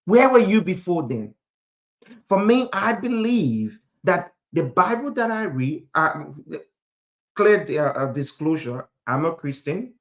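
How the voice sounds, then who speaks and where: Motivational preacher, from Africa, emphatic, repetitive phrasing, rhetorical questions, dull low-fidelity audio. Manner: emphatic, repeats phrases for effect.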